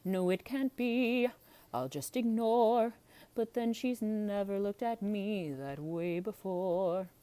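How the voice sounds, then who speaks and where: A woman voicing a large male storybook beast, gruff and forceful in temper but bashfully tender underneath. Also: mid-range voice, slow, no echo, natural voice. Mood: shy, wondering.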